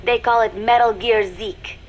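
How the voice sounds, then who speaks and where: An actress playing a cool, watchful young female spy in a video game, dictating a secret log in a measured, formal, deliberate way. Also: on the high side, fairly even intonation. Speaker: spy recording an intelligence log. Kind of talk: scripted video game voice acting, dramatic monologue.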